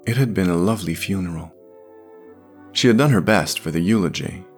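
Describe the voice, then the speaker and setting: A man reading scripted fiction as a narrator, in a measured literary storytelling manner. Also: low voice, music in the background.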